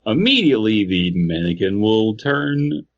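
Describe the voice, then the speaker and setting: A man's voice: on the low side, very animated, a little slow. A man co-hosting a comedy podcast, riffing crudely and absurdly in casual chat with friends. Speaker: podcast co-host. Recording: dull lo-fi voice track.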